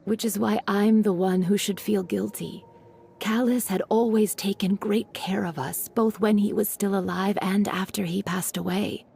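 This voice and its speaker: A woman voicing a gentle, weary widowed mother in a video game, soft and measured, consoling and reflective. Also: mid-range voice, fairly even intonation, creaky, a little hiss or hum, natural voice. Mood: regretful, somber.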